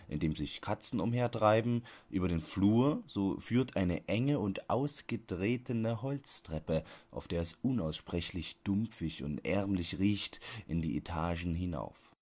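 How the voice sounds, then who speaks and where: A man with a low-pitched voice narrates an audiobook. He reads scripted literary prose in a measured manner, enunciating each phrase with care.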